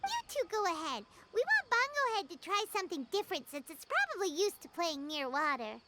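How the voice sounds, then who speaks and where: Game role: tiny fairy sidekick, very high squeaky childlike voice, bouncy swings, loud background noise. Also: female voice, a little fast. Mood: curious, chatty. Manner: excitable, refers to self in third person. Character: small childlike floating fairy companion, excitable, talks in third person. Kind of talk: animated game voice acting.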